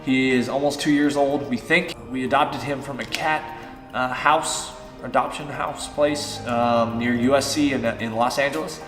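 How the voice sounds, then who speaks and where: Fitness vlogger, man, early thirties, relaxed rambling delivery, roomy echo with soft music behind. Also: medium pitch, measured pace. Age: 30s. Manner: chatty, loose and rambling, with many fillers. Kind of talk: casual Q and A vlog. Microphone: on-camera shotgun mic.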